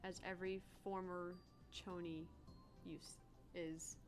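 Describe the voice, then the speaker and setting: A woman's voice: fairly low pitch, little pitch movement, takes it slowly, music in the background. A woman co-hosting a gaming video with casual, chatty commentary.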